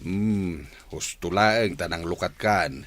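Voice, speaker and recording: A man acting as a concerned middle-aged father in a radio drama, with an expressive, advising manner. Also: low-pitched, takes it slowly. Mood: thoughtful.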